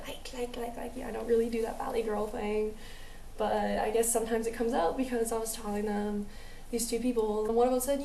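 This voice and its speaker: A woman's voice: fairly high pitch, dark tone, roomy sound, recorded from a distance, a little hiss or hum, poor audio. Chatty young woman, mixed American accent. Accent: mixed American, New Jersey with a light Southern drawl. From United States. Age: young adult. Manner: chatty, rambling, many fillers and asides. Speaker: vlogger. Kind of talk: casual storytelling vlog.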